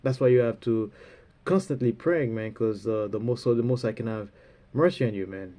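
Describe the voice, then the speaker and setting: Mellow-voiced man rambling with a stuffy nose. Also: mid-range voice. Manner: halting, full of fillers, often ends phrases with "man". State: stuffy runny nose, coughing. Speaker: religious speaker. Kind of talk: rambling spiritual talk.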